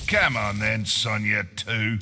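A man with an Australian accent playing a gruff, taunting mercenary villain in a video game. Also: on the low side, slow pace, bright, forceful tone, slight background noise, heavily acted. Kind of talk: video game fighter banter.

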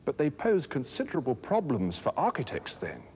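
Expressive documentary presenter, man in his thirties. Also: mid-range voice, slightly rough. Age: 30s. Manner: measured, articulate, expository. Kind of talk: scripted educational narration on architecture. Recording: noisy old documentary film soundtrack.

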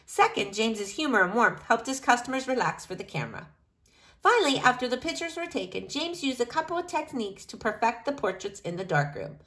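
High, lively woman reading aloud. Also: fairly quick. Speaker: reader of a children's picture book. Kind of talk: read-aloud narration of a picture book.

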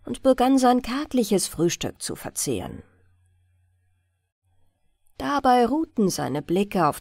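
A woman reads a fairy tale for bedtime. Her delivery is slow and gentle, with expressive, lively melody. The sound is dry and close, like a studio audiobook. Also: on the high side, no echo. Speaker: audiobook narrator. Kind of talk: bedtime storytelling, scripted narration.

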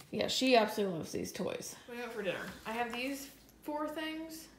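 Chatty woman vlogging in an echoey kitchen. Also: fairly high pitch. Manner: chatty, enthusiastic, lots of "like" fillers. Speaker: lifestyle vlogger. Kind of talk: casual chatty vlog talk to camera. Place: home kitchen. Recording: echoey room with faint noise.

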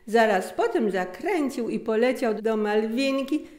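A middle-aged woman reading a story aloud as an expressive narrator. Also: on the high side, a little slow. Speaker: author reading own story. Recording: echoey room.

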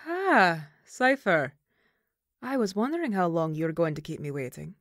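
A woman playing a stern, duty-bound banshee mother in an audio roleplay, speaking formally and gravely. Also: on the low side, expressive, normal speed, a bit bright, no room sound, clean studio sound. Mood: composed.

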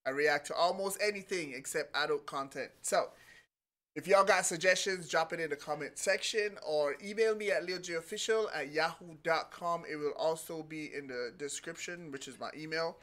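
A man's voice: fairly high pitch, normal speed, a bit bright. A young man hosting a reaction channel, talking casually and laid-back from his home studio. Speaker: reaction video host. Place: home studio with monitors and speakers.

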